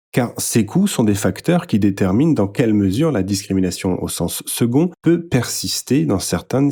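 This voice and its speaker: A man reading a nonfiction book aloud as scripted narration, in a measured, formal way. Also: on the low side, dark tone. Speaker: audiobook-style reader.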